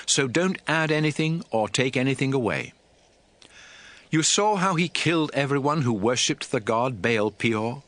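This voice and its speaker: A man's voice: medium pitch, a bit bright, natural voice. A man playing a stern, aged prophet exhorting his people in a solemn, emphatic dramatized scripture reading. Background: music.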